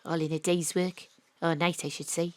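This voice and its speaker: A woman with a Welsh accent, narrating and playing the lead in a comic radio drama with a wry, brash, deadpan delivery from a home studio. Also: lowish voice, moderate pace, very noisy. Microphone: studio mic over a live internet link.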